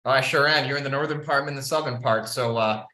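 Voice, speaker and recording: Bright-voiced man hosting, roomy echo. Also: medium pitch, fast. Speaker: podcast host. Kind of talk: casual market commentary interview. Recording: roomy, echoey sound.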